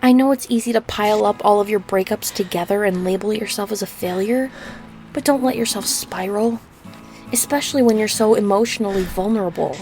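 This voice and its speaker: A woman playing a gentle, nurturing friend in an audio roleplay, speaking tenderly and reassuringly. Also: medium pitch, a little fast, faint music behind, natural voice. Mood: earnest, encouraging. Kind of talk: comforting audio roleplay acting.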